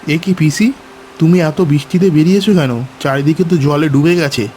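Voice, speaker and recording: A man voicing a gentle, worried poor village father in a cartoon. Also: on the high side, moderate pace, a little hiss or hum, close to an everyday voice. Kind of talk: cartoon voice acting.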